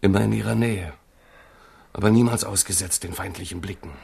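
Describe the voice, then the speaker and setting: A man's voice: deep voice, steady tone, a little fast, creaky, a little mellow, a little hiss or hum. An actor playing a young king's loyal, scheming companion, insistent and rhetorical, in a radio play. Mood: urgent. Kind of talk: 1970s radio play acting.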